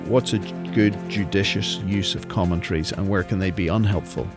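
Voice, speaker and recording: Low-voiced podcast host man. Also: moderate pace, over music. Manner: friendly and inquisitive, with frequent murmured agreement. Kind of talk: podcast interview.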